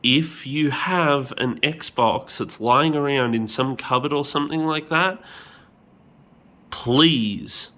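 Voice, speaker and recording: A man's voice: mid-range voice, slow, bright, forceful tone. A man with a stuffy, nasal voice from a cold, casually talking through a repair with lots of ums and the odd swear. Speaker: hobbyist repair vlogger. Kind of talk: casual hands-on tutorial.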